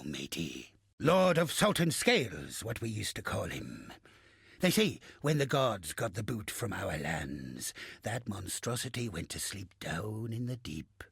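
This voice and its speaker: Cursed old pirate captain, undead, crude and boastful, slow drawn-out sailor talk, heavy gravel, game character. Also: male voice, mid-range voice, fairly bright tone, no echo, studio quality, full character voice. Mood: scornful, dismissive, then grim, storytelling. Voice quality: gravelly, husky, raspy. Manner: theatrical salty sailor talk, drawn-out phrases. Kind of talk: video game character acting.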